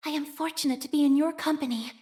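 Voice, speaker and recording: An actress voicing a soft-spoken, devout young woman scholar of a religious order in a video game, speaking formally and solemnly. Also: sits high, steady tone, lightly acted. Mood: earnest, urgent. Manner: formal, solemn, measured, reverent. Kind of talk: scripted video game character voice lines.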